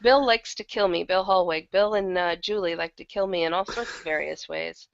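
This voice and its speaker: A woman's voice: on the low side, normal speed, vocal fry, dry recording, lo-fi sound. A woman with a slightly worn, thrashed throat, talking casually and candidly as an interview guest who acts in audio drama.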